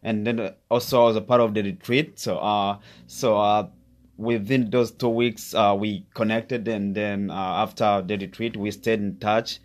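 A young man from Rwanda with a Rwandan accent, Kinyarwanda his first language, speaking as a podcast guest in a hesitant way, with many pauses and fillers. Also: lowish voice, level tone. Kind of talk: casual interview chat.

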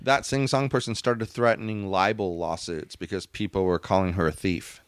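A man with a fairly low voice hosts a casual podcast. He argues his points emphatically and bickers with his co-host. The sound is dry and close, with no room around it. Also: fairly even intonation, moderate pace, no echo. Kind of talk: casual opinionated chat.

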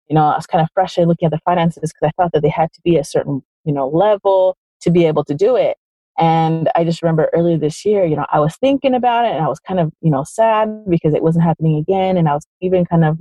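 A woman's voice: low voice, dark tone, no echo. A woman who was born in Chile and raised in the United States, a guest telling her story in a rambling, casual way with lots of fillers. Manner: rambling, lots of "kinda" and "like", quotes her own thoughts. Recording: dull, dry sound.

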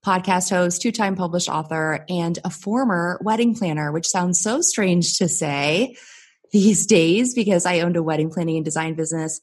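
Husky-voiced upbeat woman business coach. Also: on the low side, normal speed. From United States. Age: 30s. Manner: energetic, upbeat storyteller. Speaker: business coach, podcast guest. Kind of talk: conversational interview storytelling.

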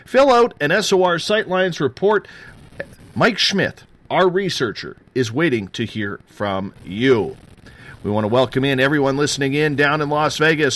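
A man in his forties hosts a late-night radio show. He moves between quick, upbeat plugs and a lower, slower tone for serious points. His voice sits at an ordinary middle pitch. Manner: energetic promo patter, drops low and slow for serious parts. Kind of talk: live radio show intro and chat.

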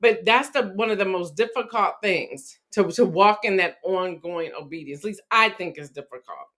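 A woman's voice: mid-range voice, moderate pace. A woman guest, an author, sharing her faith story in conversation, acting out her own exchanges with God. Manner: animated storyteller, acts out her own prayers. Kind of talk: faith-based testimony in conversation.

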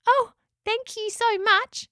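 Squeaky little echidna girl. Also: fairly even intonation, slow pace, pushed and bright, no echo, studio quality, full character voice. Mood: grateful, relieved. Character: small shy female echidna, polite and grateful.

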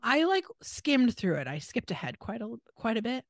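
A woman's voice: on the high side, big pitch swings, moderate pace, no echo, studio quality. An American woman co-hosting a casual podcast, telling stories in an irreverent, exasperated way with emphatic asides. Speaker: podcast co-host.

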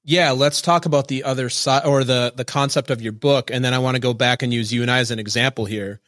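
A man's voice: mid-range voice, fairly even intonation, fast talker, a bit bright, no room sound, clean studio sound. A man hosting a podcast interview, steering the guest with repeated prompts and questions. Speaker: podcast host. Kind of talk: interview conversation.

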